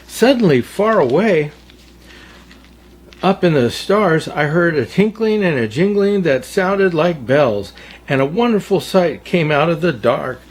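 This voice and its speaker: A man reading a children's storybook aloud as a storyteller, in a theatrical, deliberate way. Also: on the high side, expressive, breathy edge. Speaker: storyteller, Santa story-time host.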